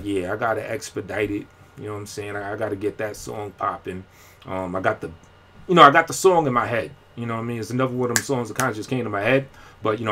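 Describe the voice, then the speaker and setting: A man's voice: medium pitch, a bit bright. A man talking casually on a live stream, rambling in slang with lots of fillers and restarts. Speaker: independent rapper and vlogger.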